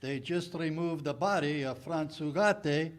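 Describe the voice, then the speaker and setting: Male actor as villainous officer, 1940s radio drama. High-pitched, clipped, icy courtesy, commanding. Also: a little slow, natural voice. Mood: coldly menacing. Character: stern, menacing military officer, coldly polite villain. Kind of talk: 1940s radio theater acting.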